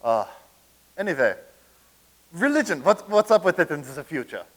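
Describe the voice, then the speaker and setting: Brief: man reading as a nervous, stammering soldier in a comedy reading, voice pitched a little high. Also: very animated, moderate pace. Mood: flustered. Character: flustered male soldier from a past war.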